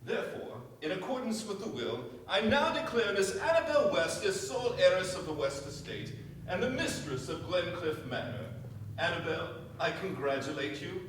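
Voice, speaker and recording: A man acting a high-voiced formal lawyer, echoey stage. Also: sing-song, a bit bright. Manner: formal, deliberate, official. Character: formal, authoritative family lawyer reading a will. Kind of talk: staged radio play acting. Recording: echoey stage, distant mic, faint hiss.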